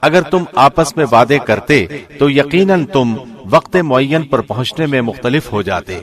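A man reads a scripture translation from a script in a measured, formal way. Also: mid-range voice, a bit bright. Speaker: reader of Urdu Quran translation.

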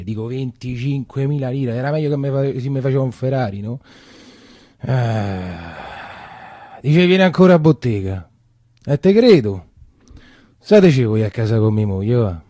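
A working-class Roman coffee shopkeeper, wry and grumbling, in a theatre monologue. His mid-pitched voice is soft-edged and warm, with a thick colloquial Roman accent and muttered asides. The sound is close and dry. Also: dry recording. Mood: animated, exasperated. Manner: colloquial, muttering to himself, exclaiming.

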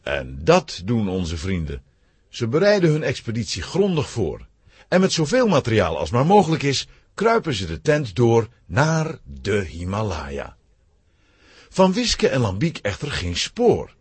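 A man narrating a scripted children's adventure story in an expressive storytelling manner. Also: mid-range voice, measured pace, breathy edge, dry recording.

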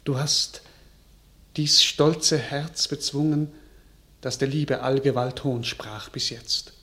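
A man's voice: medium pitch, steady tone, pushed and bright. A stately grateful king. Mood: gracious. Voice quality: stately, measured. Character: grateful, gracious young king. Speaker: actor in a radio play. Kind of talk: classic verse radio drama.

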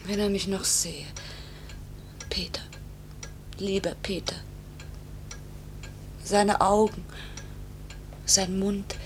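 An actress playing a pilot's anguished young wife in a 1950s German radio drama, pleading and accusing in a halting, emotional, breathy delivery. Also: female voice, medium pitch, fairly even intonation. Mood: grieving. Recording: hissy 1950s radio recording, faint hum.

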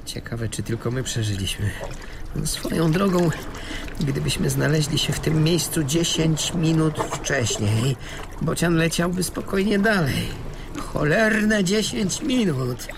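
A man acting in a radio drama as a wary, grumbling crash survivor adrift at sea, halting and testy in his talk. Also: fairly high pitch, lively intonation. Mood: gloomy. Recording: clear water sloshing noise behind. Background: lapping, trickling water.

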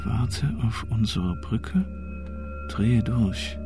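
A sardonic, brooding man in a radio drama. He speaks slowly at a medium pitch, as if thinking to himself, with clear music behind.

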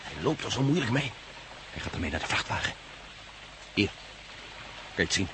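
A man's voice: on the low side, big pitch swings, moderate pace. An actor playing a determined, watchful male landowner in a radio drama, giving terse, short observations. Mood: tense, watchful. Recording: noisy radio play, outdoor ambience.

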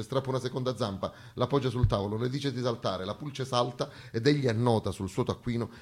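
A stage actor delivers a satirical monologue in a declamatory, mock-solemn manner. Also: male voice, mid-range voice, fairly bright tone, breathy edge.

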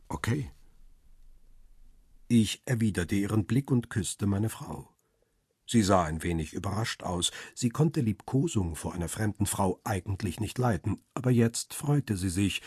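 An actor playing a photographer who tells his own story in a radio crime drama, measured and observant, with a rough, husky voice. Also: low voice, animated, a little mellow, dry recording, clean studio sound, exaggerated voice. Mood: guarded. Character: male photographer, husband, observant first-person narrator. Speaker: narrator and lead actor. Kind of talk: first-person radio crime drama narration.

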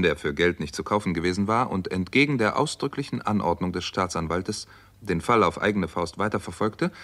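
Low-voiced, composed doctor narrator. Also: male voice, fairly bright tone. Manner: measured, firm, matter-of-fact. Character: composed, resolute American doctor narrating his own crime story. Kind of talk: scripted first-person crime drama narration.

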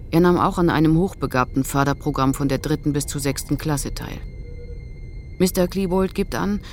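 A woman's voice: low-pitched, darkish tone, lightly acted. Formal female police investigator played by an actress. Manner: factual, official. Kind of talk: German radio docudrama acting, scripted report.

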